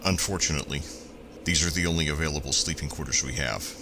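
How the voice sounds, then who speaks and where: An actor playing a polite, formal moonbase steward in an audio drama. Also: male voice, rumbling low, fast, full character voice. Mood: courteous. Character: formal male moonbase steward showing guests in. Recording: loud background noise, clicks.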